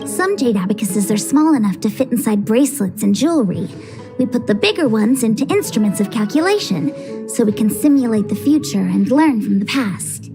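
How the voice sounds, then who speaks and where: Easygoing young diviner, lightly high-pitched. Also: female voice, lively intonation, normal speed. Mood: breezy, explanatory. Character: easygoing young female diviner explaining her workplace casually. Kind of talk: scripted video game voice acting. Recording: music under the voice.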